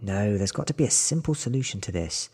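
A man voicing a puzzled, mildly suspicious young man in soft, breathy ASMR audiobook dialogue. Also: fairly low pitch, expressive, a little fast, in a large hall. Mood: insistent.

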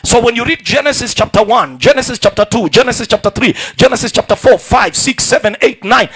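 High-voiced Kenyan preacher shouting. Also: male voice, lively intonation, fairly quick, breathy edge, faint music behind. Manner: preaching, rising to shouts, calls for amen. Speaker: pastor. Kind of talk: sermon. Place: large church hall indoors. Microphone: handheld microphone.

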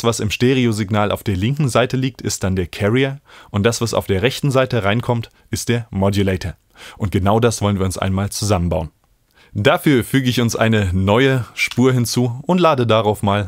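Low-voiced man giving a software tutorial. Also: dry recording, clean studio sound. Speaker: music production tutorial host. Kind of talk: screen-recorded software tutorial.

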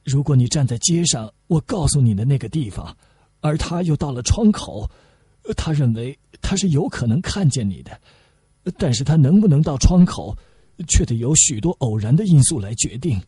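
A man voicing a gentle, earnest old father in an audiobook, carefully advising his daughter. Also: mid-range voice, lively intonation, normal speed, breathy edge, no echo. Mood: earnest, tender.

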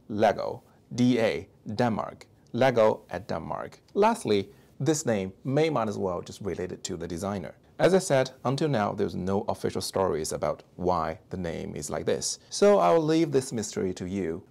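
Low gravelly man with a Chinese accent. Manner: explanatory, lively voiceover with sudden high emphasis on openers. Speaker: toy reviewer. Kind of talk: product review narration. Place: home hobby room, indoors. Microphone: clip-on lapel mic. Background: soft background music.

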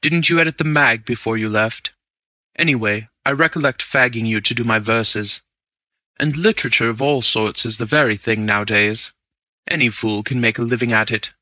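A man voicing a cool, assured gentleman with a languid, unruffled manner. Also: mid-range voice, moderate pace, no echo, natural voice.

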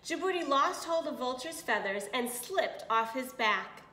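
A woman's voice: high voice, animated, measured pace, bright, forceful tone. A woman reading a picture book aloud to children as a storyteller, expressively, with little asides and questions. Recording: echoey room.

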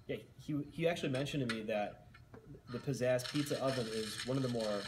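A young man in a room, talking into a headset mic in a deadpan, earnest infomercial tone. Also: medium pitch, a little fast, a little hiss or hum. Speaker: co-host. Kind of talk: mock-serious cooking commentary.

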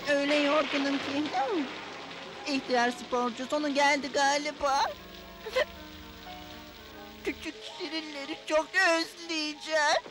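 High-voiced sporty little male smurf. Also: steady tone, measured pace, pauses often, rough recording. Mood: weary, self-pitying. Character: sporty male little blue smurf, grumbling but good-hearted. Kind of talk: animated cartoon dub. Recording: music under the voice.

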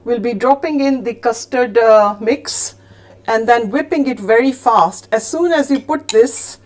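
A middle-aged woman hosting a cooking show, demonstrating a recipe in a kitchen and then reading out the ingredients and method, with fillers and quick self-corrections. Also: on the high side. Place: home kitchen set, indoors.

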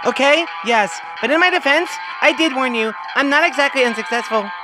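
A man's voice: very high-pitched, fairly quick, music in the background, voice pushed up, poor audio. A man voicing a sly, flirtatious, confident female cat burglar in a comic-book reading, teasing and coy.

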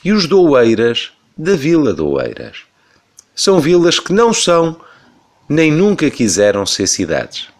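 Emphatic Portuguese man, lively pitch. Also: unhurried. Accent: European Portuguese. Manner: emphatic, rhetorical. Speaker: radio commentator. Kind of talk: opinion commentary.

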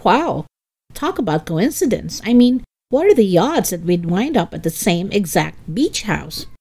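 A woman's voice: medium pitch, big pitch swings, unhurried, darkish tone, breathy edge, exaggerated voice. A woman voicing a mild, easygoing young boy in a storybook reading. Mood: surprised.

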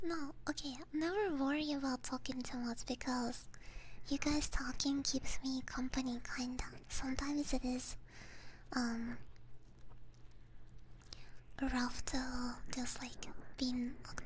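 A woman whispering breathily and gently into a close binaural microphone, chatting casually with her stream viewers. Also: high-pitched, rough recording. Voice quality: breathy, mostly unvoiced whisper. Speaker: ASMR live streamer. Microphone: close binaural ASMR microphone. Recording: faint background noise, soft clicks.

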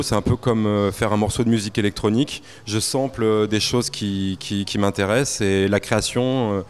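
A man's voice: fairly low pitch, smooth. A man in his forties, a graffiti artist, talking casually in an interview in a gallery into a handheld mic. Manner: relaxed, casual with filler pauses. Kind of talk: on-camera interview. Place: art gallery indoors.

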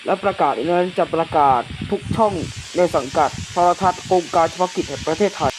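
A man's voice: on the high side, natural voice. Man as a solemn emergency broadcast announcer, noisy recording. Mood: solemn, official. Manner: formal, measured official reading. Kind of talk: scripted analog horror announcement narration. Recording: clearly noisy hissing background.

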